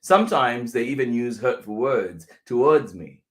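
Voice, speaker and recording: A man reading a children's picture book aloud as a teacher would, deliberately, with pauses between phrases. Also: medium pitch, lively intonation.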